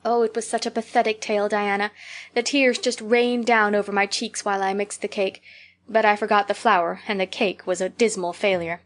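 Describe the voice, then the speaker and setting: A woman's voice: mid-range voice, fairly even intonation, normal speed, close to an everyday voice. Brief: woman reader voicing a dreamy, dramatic little girl, pouring out tales in a gushing rush. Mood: earnest, dramatic. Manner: gushing, breathless storytelling. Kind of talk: audiobook character reading.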